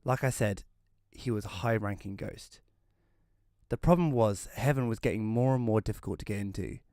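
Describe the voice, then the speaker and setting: A man's voice: on the low side, normal speed, darkish tone, no echo, studio quality, lightly acted. A man playing a casual, wry first-person narrator in a scripted audio drama. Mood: wry, matter-of-fact. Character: Rory, casual storyteller befriending a ghost.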